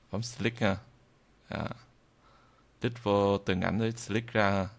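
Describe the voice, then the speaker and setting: Low, creaky-voiced man giving a tutorial. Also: fairly bright tone. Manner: halting, with frequent drawn-out hesitations. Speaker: software tutorial instructor. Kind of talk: informal screen-recorded tutorial narration. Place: indoors at a computer, screen recording voice-over.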